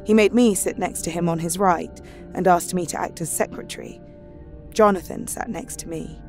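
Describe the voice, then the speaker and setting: A woman's voice: on the low side, normal speed, darkish tone, faint music behind, lightly acted. An actress playing a polite, earnest young married Victorian woman, composed and formal as she keeps a journal in an audio drama.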